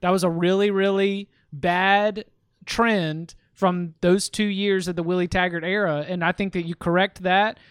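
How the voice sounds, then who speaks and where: A man's voice: sits high, fairly even intonation, a little slow, clean tone, no room sound, studio quality. A man hosting a sports podcast, talking things through casually and often restarting his words. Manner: rambling, repeats words while thinking.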